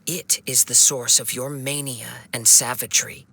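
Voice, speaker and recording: Composed wandering swordsman, crisp and bright. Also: medium pitch, steady tone, a little hiss or hum. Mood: solemn, composed. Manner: measured, formal, solemn. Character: calm young male wandering swordsman, composed and compassionate. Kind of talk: video game voice acting.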